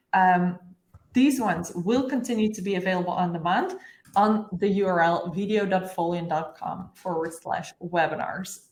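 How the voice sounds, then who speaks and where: A woman's voice: mid-range voice, roomy sound. A woman in her thirties hosting a live online webinar, speaking over a video call from an office room. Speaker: webinar host. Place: bright office room with glass walls, indoors.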